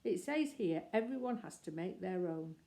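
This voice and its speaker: Kindly worried mother, motherly and cautioning, read by a woman narrator, mellow tone. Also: lowish voice, lively intonation, moderate pace. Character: kindly, worried middle-aged mother at the seaside.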